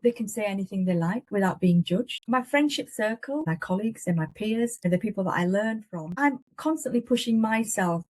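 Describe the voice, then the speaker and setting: A woman's voice: mid-range voice, animated, fairly quick, hardly any pauses, darkish tone, dry recording. Earnest Yorkshire woman, dry close sound. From England. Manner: earnest, emphatic, lists ideas in short phrases. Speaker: guest, business leader. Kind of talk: interview answers.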